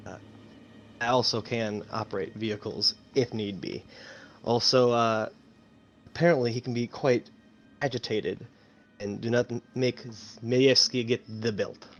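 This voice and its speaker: A man's voice: medium pitch, steady tone, a little slow, highs cut off, faint music behind. A man who plays tabletop games, introducing himself in casual chat. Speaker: tabletop game player.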